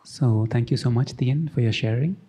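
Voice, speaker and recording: A man hosting a gathering, introducing speakers informally and pausing often. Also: medium pitch, mellow, breathy edge.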